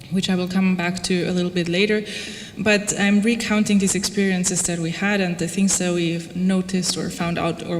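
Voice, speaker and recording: A woman from Austria gives an informal talk about her own research in a conversational, gently wry style. Her voice rings in a reverberant hall, with some noise in the background. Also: medium pitch. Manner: conversational, wry, frequent "like" and "you know". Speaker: lecturer presenting own research project. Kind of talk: informal lecture. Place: reverberant lecture room, before an audience.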